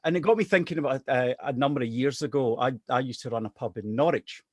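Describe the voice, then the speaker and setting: A middle-aged man from Scotland tells a story in a chatty, self-deprecating way. His voice sits at an ordinary pitch and sounds close and dry, with no room around it. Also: fast talker, darkish tone, no room sound. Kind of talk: casual anecdote telling.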